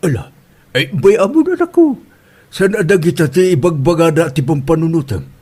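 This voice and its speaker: A man's voice: on the high side, big pitch swings, moderate pace, a little mellow, husky. A man playing a puzzled, easygoing middle-aged father in an Ilocano comedy drama, questioning and placating with an Ilocano accent.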